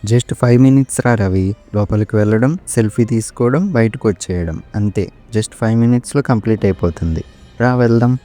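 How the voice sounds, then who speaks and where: A man's voice: on the low side, fairly quick, warm tone, close to an everyday voice. A man playing a young guy on a haunted-house dare in a horror cartoon, colloquial and urgent. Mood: eager. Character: two young male friends on a haunted-house dare, jittery. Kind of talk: horror cartoon story dialogue. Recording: music behind the voice.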